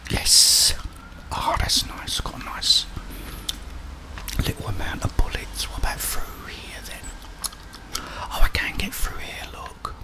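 A man whispers breathily, muttering to himself as he gives hushed ASMR commentary on a video game, close to the mic. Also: takes it slowly, pauses often, rough recording. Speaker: ASMR gaming streamer.

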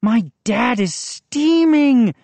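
A woman voicing an excitable boy who is the narrator's friend, loud and agitated. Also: on the high side, extremely slow, no echo, pitched above the performer's own voice, heavily acted.

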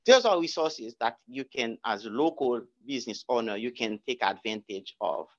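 A man giving a guest talk, answering audience questions in a lecture room, halting with many restarts and repeated words. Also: medium pitch, expressive, highs cut off. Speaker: guest speaker.

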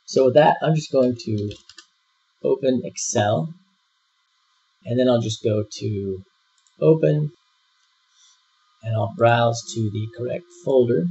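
A man giving a screen-recorded software tutorial, walking through each step in a relaxed way with frequent fillers. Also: mid-range voice, takes it slowly, pauses often, breathy edge. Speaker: software instructor. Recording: dull lo-fi voice-over.

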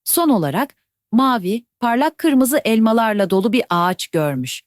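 A woman telling a children's bedtime fairy tale in a gentle, even storytelling voice. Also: on the high side, moderate pace, no room sound, clean studio sound.

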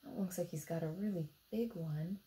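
A woman in her thirties with an American accent, reading a picture book aloud to young children expressively, pausing to ask them simple questions. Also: fairly low pitch, mellow, a little hiss or hum. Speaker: storytime reader for children. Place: indoor room beside a book display.

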